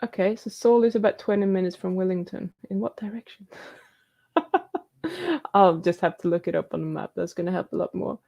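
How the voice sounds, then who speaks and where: Woman, live stream host, gravelly edge, mellow tone, reads out viewer questions, chatty and casual. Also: medium pitch, lively intonation, moderate pace. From New Zealand. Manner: reads viewer comments aloud and answers them, chatty with laughs.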